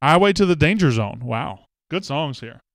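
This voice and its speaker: A man's voice: a little high, animated, normal speed, a bit bright, dry recording, clean studio sound. A man hosting a casual live show, chatting and reading viewer comments with self-mocking jokes. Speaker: host. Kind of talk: casual live-stream chat.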